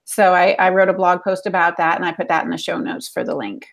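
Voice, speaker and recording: A woman's voice: fairly low pitch, quick pace, creaky. A woman co-hosting a podcast in casual chat, with a chatty, effusive way of talking. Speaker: podcast co-host.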